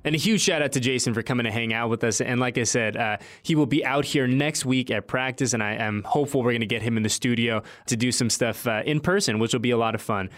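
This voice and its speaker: A man's voice: medium pitch, fast, few pauses, a bit bright. An enthusiastic man with a mid-range voice, hosting a sports show. He talks informally and chattily, as if to a buddy, and repeats points to drive them home. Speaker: sports podcast host.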